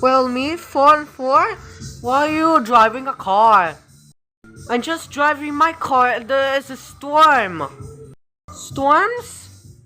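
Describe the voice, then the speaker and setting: A woman talking casually in halting fragments as she improvises a story roleplay. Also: high-pitched, soft background music. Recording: loud vehicle and ambient noise.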